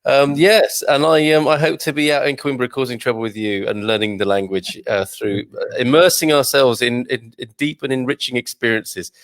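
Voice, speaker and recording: Gravelly, upbeat male host. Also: medium pitch, steady tone, no room sound. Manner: upbeat, quick praising interjections. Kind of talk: interview hosting.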